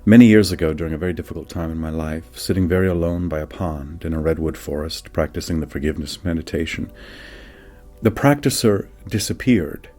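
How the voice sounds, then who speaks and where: A man reading a scripted spiritual meditation teaching in a measured, deliberate way, with dramatic pauses. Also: extremely low pitch, darkish tone. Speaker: reader of a spiritual book. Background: faint music.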